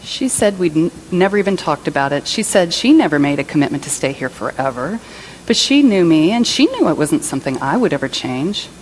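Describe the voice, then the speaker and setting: Woman acting a wounded, low-voiced jilted partner. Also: big pitch swings, a little fast, a little hiss or hum, rough recording. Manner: hurt, indignant, emphatic. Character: wounded, self-pitying woman abandoned by her partner. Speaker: reader in a church service skit. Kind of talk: dramatic monologue reading.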